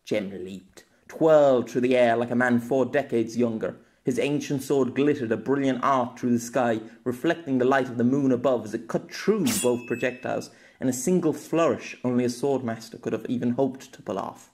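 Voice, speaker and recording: A man narrates an audiobook in a medium-pitched voice. He tells the story as dramatic scripted prose, carrying the action as a storyteller. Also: moderate pace, hardly any pauses, a little mellow.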